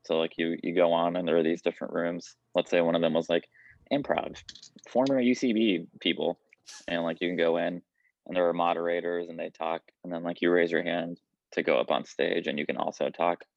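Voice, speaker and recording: A man's voice: low-pitched, fairly even intonation, brisk, gravelly, no echo. A man chatting casually on a video call with dull, lo-fi sound. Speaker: podcast cast member. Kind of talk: casual chat.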